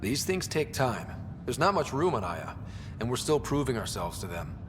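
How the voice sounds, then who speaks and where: A man's voice: mid-range voice, sing-song, moderate pace, close to an everyday voice. A man playing an earnest young space explorer hero in a video game. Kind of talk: scripted video game dialogue. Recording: reverberant, clearly noisy background.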